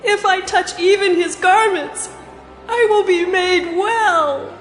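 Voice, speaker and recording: Hopeful suffering woman, very high, echoing. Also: slow. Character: suffering woman, desperate but full of faith. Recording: echoing, music behind.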